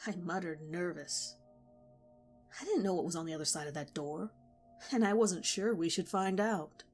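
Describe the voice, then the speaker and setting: A woman narrates a horror story in a measured, steady way. Her voice sits on the low side. Soft music plays faintly underneath.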